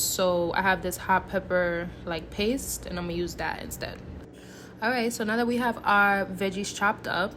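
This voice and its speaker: A woman's voice: medium pitch, fairly bright tone, a little hiss or hum. A woman giving a casual, playful cooking tutorial, chatting to viewers informally as if they were friends. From Haitian background. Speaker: home cook, recipe vlogger.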